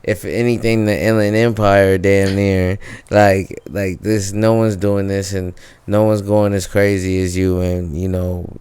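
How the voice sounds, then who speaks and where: A man's voice: low-pitched, little pitch movement, unhurried, a little mellow, smooth, no echo. A man hosting a podcast, hyping his guest in a loose, slangy way with lots of fillers. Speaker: podcast host. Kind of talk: casual podcast interview.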